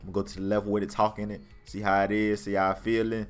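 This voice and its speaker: A man with a deep, low-set voice narrates a scripted gear review in a relaxed, friendly way, often calling his viewers y'all. He is recorded at home on a budget USB desk mic, with faint music underneath. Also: quick pace, smooth. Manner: casual, calls the audience y'all. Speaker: tech reviewer. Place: home room with carpet and a PC. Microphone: budget USB condenser desk mic.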